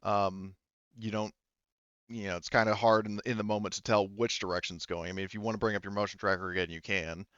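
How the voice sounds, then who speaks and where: A man narrating a tabletop roleplaying game casually as game master, improvising in a halting, rambling way and rephrasing as he goes. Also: fairly low pitch, fairly even intonation, a bit bright, clear, resonant tone, dry recording, muffled recording. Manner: halting, rambling, often rephrasing mid-sentence.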